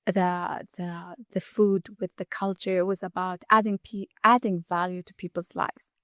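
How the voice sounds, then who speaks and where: A woman's voice: lowish voice, fairly even intonation, no room sound, clean studio sound. A middle-aged woman from Saudi Arabia, a chef guest chatting casually in an interview and often restarting her sentences. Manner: halting, many restarts and fillers. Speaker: guest, chef and food blogger.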